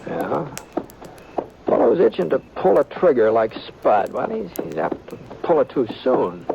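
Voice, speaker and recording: An American actor playing an easygoing frontier gunman who also narrates, in halting, folksy radio western acting. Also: mid-range voice, huge pitch swings, creaky, exaggerated voice. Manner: halting, folksy drawl with many hesitations. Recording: noisy dull old radio broadcast.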